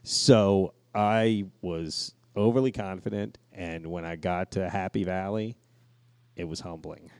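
A man's voice: low-pitched, slow, a little mellow, no echo, studio quality. A man chatting casually as a podcast co-host in a crude, profane, joking way. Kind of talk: casual chat.

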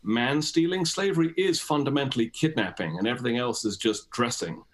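A man's voice: medium pitch, a little fast. Man, British accent, academic guest, articulate, discursive, emphatic phrasing, explanatory interview talk.

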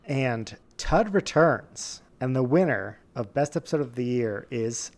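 A man's voice: medium pitch, expressive, a little slow, darkish tone, faint music behind. A man hosting a podcast in a casual mock awards-ceremony style, playful and mock-formal. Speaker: podcast host presenting joke awards.

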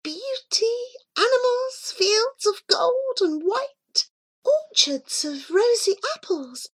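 Woman voicing a tiny awestruck creature, very high-pitched. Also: expressive, takes it slowly, full character voice. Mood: rapt, marvelling. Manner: breathy hushed wonder, marvelling. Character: small awestruck female animal companion with claws.

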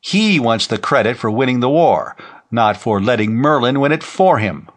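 A man's voice: medium pitch, animated, normal speed, close to an everyday voice. Man playing a blunt, defiant young man. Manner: blunt, insistent. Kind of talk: audiobook character voice.

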